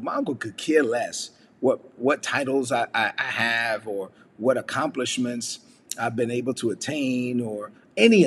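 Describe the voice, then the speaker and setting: A man from Brooklyn, New York, giving a relaxed Sunday devotional message, telling personal stories with frequent "right?" asides. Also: mid-range voice, big-room sound, a little hiss or hum. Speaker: preacher.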